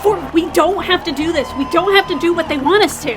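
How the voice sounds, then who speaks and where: A woman acting a young female gladiator in an audio drama, with a very high, emotional voice. She is a weary idealist, earnest and defiant, with music playing behind her. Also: fast talker. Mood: pleading, defiant.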